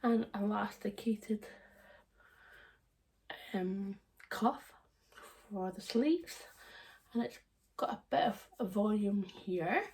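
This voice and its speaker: A woman hosting her sewing channel, chatting to camera from her living room with a hoarse, croaky voice and frequent laughs. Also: mid-range voice, takes it slowly, long pauses, a little mellow, distant mic. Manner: chatty, laughs often, meandering. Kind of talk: casual chat to camera.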